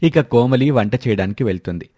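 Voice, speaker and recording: A man's voice: medium pitch, animated, a little fast, warm tone, breathy edge, dry recording, clean studio sound. A man narrating a scripted moral tale in a storybook style.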